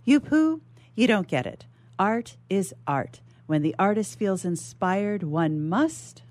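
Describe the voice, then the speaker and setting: A woman's voice: mid-range voice, lively intonation, slow pace, a little mellow, dry recording. A woman reading the part of a dreamy, headstrong noble lady with a poetic, musing manner. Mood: defiant.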